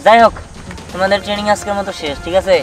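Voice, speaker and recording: A performer voicing a bossy, brisk cartoon super commando who gives orders and instructions. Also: medium pitch, level tone, far from the mic, natural voice. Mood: firm, reassuring. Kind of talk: Bengali cartoon voice acting.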